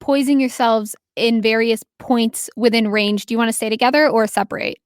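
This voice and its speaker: A woman's voice: fairly high pitch, fairly even intonation, brisk, no room sound, clean studio sound. A woman casually running a tabletop roleplaying game as game master, explaining things informally with a giggly manner.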